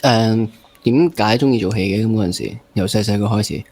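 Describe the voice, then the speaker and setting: Male interview host, low voice, Hong Kong Cantonese accent, chatty, teasing banter. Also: fairly quick, a little mellow. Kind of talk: casual interview chat.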